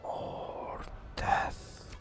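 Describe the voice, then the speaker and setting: A man softly narrating a fantasy game as ASMR, in a breathy whisper with slow, dramatic storytelling and long pauses. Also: music in the background.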